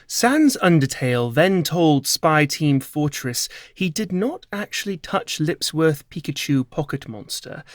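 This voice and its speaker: A male voice actor narrates a story with theatrical weight. He takes his time over the phrases, slipping at times into hushed delivery. The sound is dry, with no room around it. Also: fairly high pitch, no echo. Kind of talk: dramatic narrated story reading.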